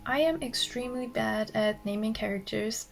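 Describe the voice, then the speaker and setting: A woman with a raspy voice, vlogging about her clay art in a soft-spoken way that often drops to a whisper. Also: fairly high pitch, normal speed, slight background noise. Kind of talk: casual vlog narration.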